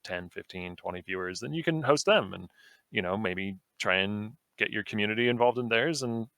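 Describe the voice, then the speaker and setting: Low-voiced young man, relaxed and rambling. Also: normal speed, creaky, no echo, clean studio sound. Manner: relaxed, rambling, full of fillers. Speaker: guest streamer. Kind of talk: casual podcast conversation.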